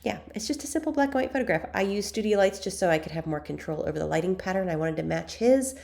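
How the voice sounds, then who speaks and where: Raspy congested woman with a low, gravelly voice. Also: animated, fast talker, a little mellow. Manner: chatty and self-deprecating, frequent ums. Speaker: photography tutorial host. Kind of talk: screen-recorded software tutorial.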